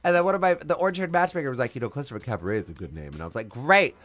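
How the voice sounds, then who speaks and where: A man's voice: medium pitch, expressive, very fast, fairly bright tone. Flamboyant man with a strong voice. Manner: flamboyant, theatrical storyteller with big swoops. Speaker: drag queen guest. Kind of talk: casual interview chat.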